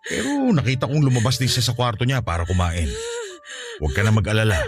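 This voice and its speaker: Reassuring, very animated Filipino husband. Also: male voice, lowish voice, fast. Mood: firm, calming. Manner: soothing, insistent, emphatic. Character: reassuring, protective husband and father Danilo. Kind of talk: radio drama acting. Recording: music bed behind voice.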